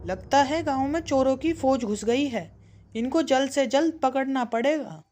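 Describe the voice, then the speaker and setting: A woman's voice: sits high, moderate pace, warm tone, soft background music, natural voice. A woman voicing a clever, eager young village boy who leads his friends in a children's cartoon story. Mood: thoughtful, determined.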